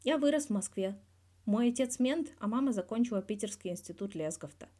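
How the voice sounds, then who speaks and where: A woman's voice: a little high, animated, brisk, warm tone. A woman voicing a guarded male hockey star in his thirties, talking candidly and wryly in a scripted interview from a fan fiction audio reading. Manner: candid, wry, colloquial with swearing.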